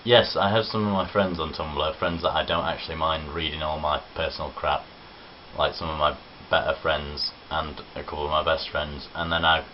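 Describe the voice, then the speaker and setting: A man's voice: very deep voice, level tone, normal speed. A young man from Nottinghamshire with a Midlands accent, chatting casually and self-deprecatingly in a home video vlog. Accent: English Midlands (Nottinghamshire). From Nottinghamshire, England. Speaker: vlogger. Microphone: camera on tripod. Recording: dull lo-fi webcam audio with faint hiss.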